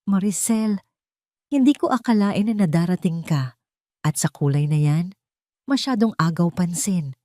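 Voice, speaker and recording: A woman's voice: lowish voice, lively intonation, unhurried, long pauses, warm tone, no room sound, studio quality. Woman voicing an arrogant, threatening husband. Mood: condescending. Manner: smug, threatening. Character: arrogant, menacing cheating husband, a businessman.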